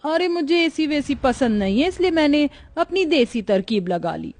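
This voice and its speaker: A woman's voice: sits high, sing-song, normal speed, darkish tone. A woman playing a naive, thrifty young village bride in a comic cartoon moral story. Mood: cheerfully matter-of-fact.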